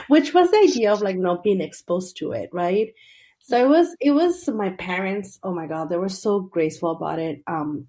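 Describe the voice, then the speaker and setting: Guest: woman, 30s, lowish, chatty with restarts. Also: darkish tone. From Venezuela. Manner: chatty, restarts sentences, laughs often. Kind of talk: casual interview.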